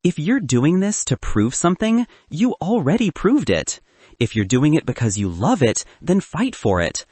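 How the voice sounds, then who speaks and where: A man voicing a gentle, plain-spoken middle-aged working father in an audiobook. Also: a little high, sing-song, normal speed, husky, no room sound. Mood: gentle, earnest.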